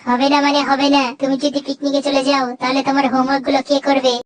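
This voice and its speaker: A woman's voice: sits high, monotone, racing, close to an everyday voice. A woman voicing a childlike robot cat companion in a cartoon dub, touchy, stubborn and scolding.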